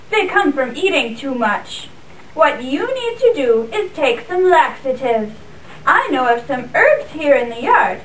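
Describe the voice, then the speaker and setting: A woman's voice: high-pitched, roomy sound, recorded from a distance, a little hiss or hum, rough recording. A young woman voicing a pretty, bossy hen wife, scolding in a matter-of-fact way.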